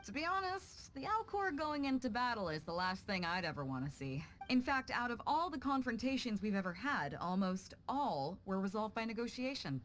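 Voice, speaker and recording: A woman's voice: a little high, big pitch swings, normal speed, few pauses, fairly bright tone, a little hiss or hum. A young woman playing a bold, teasing, good-hearted female sea captain in a video game, speaking with confident, playful command. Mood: confident, instructive.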